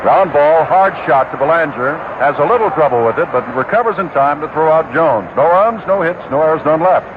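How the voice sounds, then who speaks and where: High-voiced radio baseball announcer. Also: male voice, expressive. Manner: brisk, matter-of-fact calling of plays. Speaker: play-by-play announcer. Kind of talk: live radio baseball commentary. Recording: tinny, hissy 1969 radio broadcast.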